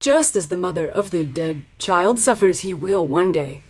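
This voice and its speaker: A low, husky female voice plays a housekeeper in a radio drama. She is defensive and agitated, pressing her points with bitter emphasis. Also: lively intonation, normal speed, darkish tone. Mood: brooding, agitated. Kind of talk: radio play acting.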